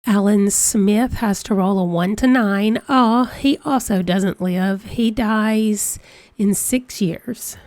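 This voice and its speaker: A woman's voice: mid-range voice, slow, a little mellow, no room sound. A woman hosting a let's play, chatty and reading out dice rolls, her voice congested from a cold. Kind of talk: casual game commentary.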